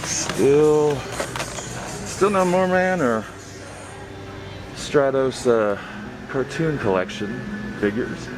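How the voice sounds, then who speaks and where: A man hosting a toy-hunting vlog, commenting casually and haltingly off the cuff as he browses inside a large store. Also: medium pitch, music in the background.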